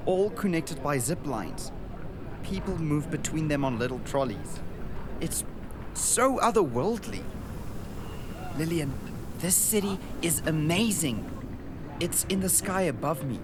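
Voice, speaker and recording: A man playing an earnest, wide-eyed boy traveler in a radio drama, describing things with awe. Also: on the high side. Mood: amazed. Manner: descriptive, awed storytelling. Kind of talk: radio drama monologue and dialogue. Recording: noisy outdoor and vehicle ambience behind.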